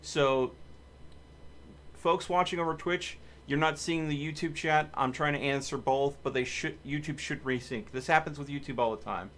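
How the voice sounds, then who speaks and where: A man's voice: fairly high pitch, moderate pace, fairly bright tone, a little hiss or hum. A man hosting a live game stream, chatting casually and commenting on his play, with game music behind him. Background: video game music.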